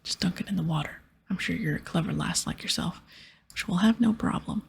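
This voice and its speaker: Bossy old-salt pirate captain played by a woman, video game, breathy ASMR whisper, piratical turns of phrase. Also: fairly low pitch, a little fast, exaggerated voice. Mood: bossy, explaining. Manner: piratical dialect, explaining and ordering.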